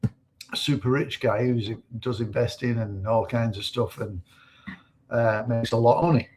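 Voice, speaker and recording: A man from Manchester, co-hosting a live stream and explaining in a relaxed way. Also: mid-range voice, normal speed, slight background noise. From Manchester, England. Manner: relaxed, explanatory, slight restarts. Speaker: co-host. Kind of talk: casual live-stream chat.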